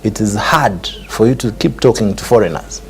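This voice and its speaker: A man's voice: fairly low pitch, lively intonation, moderate pace. A man with a Ugandan accent answering conversationally as an air traffic controller in an interview.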